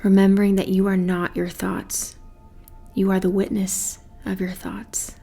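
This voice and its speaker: A woman leading a guided meditation in a soothing, measured manner, with breathy phrase endings and pauses between phrases. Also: medium pitch, level tone, warm tone, soft background music.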